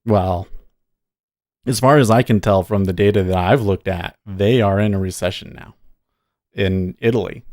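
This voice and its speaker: A man's voice: lowish voice, moderate pace, pauses often, darkish tone, no room sound, studio quality. A man hosting a day trading livestream, chatting casually and thinking aloud, often muttering to himself between points.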